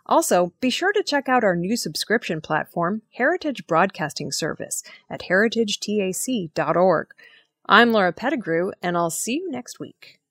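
A woman presenting scripted news stories in a measured, formal reading manner. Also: mid-range voice, sing-song, a bit bright, dry recording.

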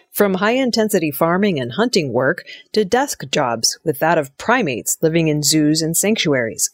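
A woman reads audio news from a script in a fairly low voice. Her delivery is measured and formal, and the sound is close and dry. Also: no echo.

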